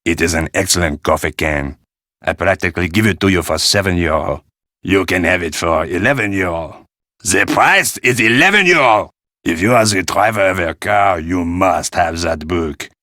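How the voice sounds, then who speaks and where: A French curio dealer from a video game, with a deep voice and a bright, forceful edge. He is fussy and courtly, a theatrical haggler with a French accent, recorded close and dry. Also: moderate pace, dry recording. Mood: salesman-like, coaxing. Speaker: shopkeeper. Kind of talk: video game character acting.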